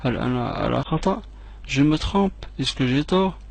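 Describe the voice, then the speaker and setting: Gravelly-voiced man drilling French phrases. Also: mid-range voice, animated. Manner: slow, clearly separated repetitions, quick asides in another language. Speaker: French teacher. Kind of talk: repeat-after-me language drill.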